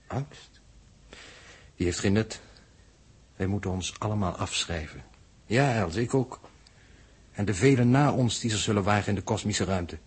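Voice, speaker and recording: A learned spaceship mathematician with a strongly husky, fairly low voice. He is coolly fatalistic and lectures in precise, formal language, in the manner of a 1960s radio play. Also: moderate pace, pauses often. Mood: grave, resigned. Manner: pedantic, lecturing, formal wording. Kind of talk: 1960s Dutch radio drama acting.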